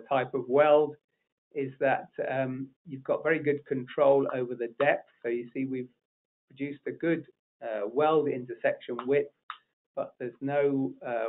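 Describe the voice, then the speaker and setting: Middle-pitched man, halting webinar presenter, tinny phone-line sound. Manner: halting, many fillers and restarts. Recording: narrow phone-like audio.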